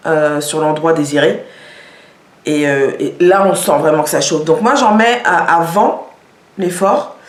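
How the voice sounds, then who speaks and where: A woman showing products in a casual vlog, talking with many hesitations and fillers. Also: on the low side. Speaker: vlogger. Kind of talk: casual product show-and-tell. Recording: echoey room.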